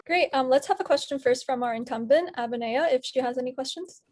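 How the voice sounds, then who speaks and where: A woman with a fairly high voice chairs an online council meeting. She calls on people with short, halting introductions over a video call. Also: darkish tone. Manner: brief and hesitant when introducing speakers.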